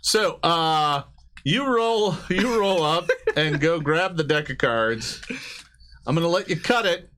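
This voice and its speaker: Man, game master, lively intonation, halting improvised narration, amused, slight background noise. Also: fairly high pitch.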